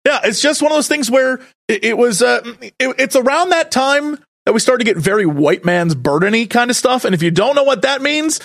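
A podcast co-host chatting casually, sarcastic and quick to mock old attitudes. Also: medium pitch, expressive, creaky, a bit bright. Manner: sarcastic, mocks historical attitudes in put-on voices.

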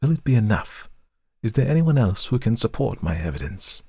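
A man voicing a calm, cold foreign woman in an audiobook, quiet and deliberate, with hatred smoldering underneath. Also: on the low side, gravelly, breathy edge, no echo, voice pushed up, heavily acted. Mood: cold, controlled. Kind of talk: audiobook character voice.

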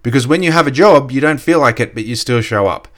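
A man with a slightly croaky voice giving a casual motivational business talk, full of "you know" fillers. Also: mid-range voice, animated, fast talker, a bit bright. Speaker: network marketing coach. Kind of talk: motivational solo podcast talk.

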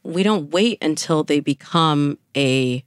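American woman, low dry voice, dating coach guest; explanatory, emphatic, conversational advice. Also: slow, clear, resonant tone, dry recording.

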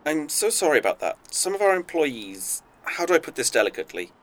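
Courteous, guarded spy boss played by a man, lively intonation. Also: a little high, low-quality recording. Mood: smooth, guarded. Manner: formal, measured, courteous. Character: polite, guarded, older spy boss with veiled menace. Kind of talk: audio drama acting.

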